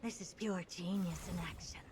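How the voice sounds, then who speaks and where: A woman's voice: lowish voice, steady tone, moderate pace, noisy background, natural voice. A woman playing a confident, haughty young female genius in a fantasy video game, delivering battle lines. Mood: smug. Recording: loud game music and effects behind.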